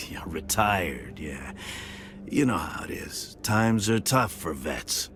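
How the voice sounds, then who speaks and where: An actor playing a middle-aged retired soldier, down on his luck, who begs in a halting, stammering way. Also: low voice, very animated, a bit bright, a little hiss or hum, full character voice. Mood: wheedling.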